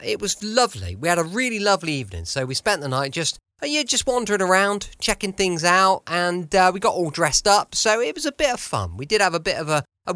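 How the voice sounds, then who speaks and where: Bright, very animated British man hosting. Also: on the high side, no echo. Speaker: podcast host. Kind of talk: chatty podcast introduction.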